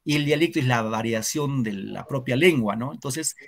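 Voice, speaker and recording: A male teacher gives an online class. He lectures in an earnest, reflective tone and keeps checking in with "¿no?". His voice sits in an ordinary middle pitch. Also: normal speed, gravelly. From Peru. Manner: earnest, reflective lecturing with frequent rhetorical questions.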